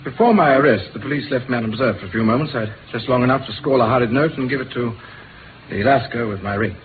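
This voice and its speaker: A male actor plays an English gentleman with a secret, earnest and hesitant as he confesses. He talks quickly, in 1950s radio drama style. The old recording is thin and clearly noisy. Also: mid-range voice, narrow, tinny audio, close to an everyday voice. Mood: earnest confession. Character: anguished respectable gentleman confessing a secret double life.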